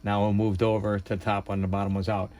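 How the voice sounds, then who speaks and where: A man's voice: fairly low pitch, level tone, fast, a little mellow. A man giving a casual hands-on tutorial, thinking aloud in an unpolished, hesitant way. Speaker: hobbyist demonstrator.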